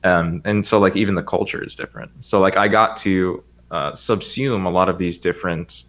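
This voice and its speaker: A man's voice: sits low, moderate pace, fairly bright tone. A young man with an American accent whose first language is Spanish, chatting casually as a podcast guest and rambling with lots of fillers. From United States. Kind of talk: casual chat.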